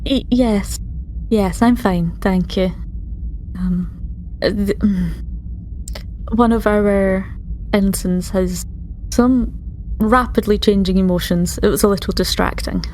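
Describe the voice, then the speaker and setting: A woman's voice: medium pitch, slow, pauses often, darkish tone, slight background noise, low-quality recording. A woman casually roleplaying and narrating her character as a player in a tabletop game.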